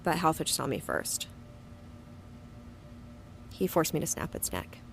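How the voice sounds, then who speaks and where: Low-pitched, blunt, defiant young woman. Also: sing-song, a little slow, gravelly, a little hiss or hum, full character voice. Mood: subdued, reflective. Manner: blunt, sweary. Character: Murphy, hot-tempered, wounded, defiant young woman. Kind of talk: audio drama acting and first-person narration.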